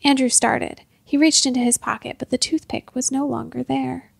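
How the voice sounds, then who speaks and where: Female narrator for fiction, slightly high with heavy creak, measured scripted read, very dry sound. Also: fairly even intonation, no room sound. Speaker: storyteller, narrator.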